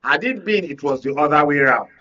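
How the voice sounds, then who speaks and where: A man's voice: on the high side, animated, fast, bright, forceful tone. A Nigerian man giving political commentary as a studio guest, speaking emphatically with rhetorical repetition. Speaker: media analyst, studio guest. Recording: dull lo-fi audio, distant mic.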